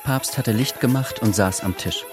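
A man's voice: lowish voice, normal speed. A man narrating a literary radio drama in a scripted storytelling style. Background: faint music.

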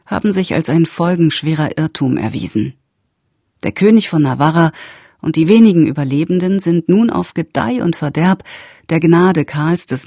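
Guy narrating a radio serial recap, formal n measured, warm dark tone, no room sound. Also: on the high side, dry recording, studio quality.

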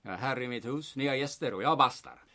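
A man playing a gruff, cheeky, stubborn middle-aged artist in an old-time radio comedy, blustering and declaiming with sardonic bite. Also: mid-range voice, bright, forceful tone. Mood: gruff, mocking.

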